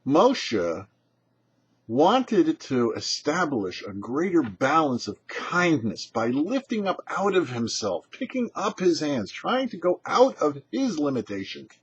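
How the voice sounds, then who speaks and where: A man with a husky voice, giving a short scripted religious teaching as a rabbi in an emphatic, explanatory way. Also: a little high, sing-song, slow pace. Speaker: rabbi and show host. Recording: dull, muffled lo-fi audio.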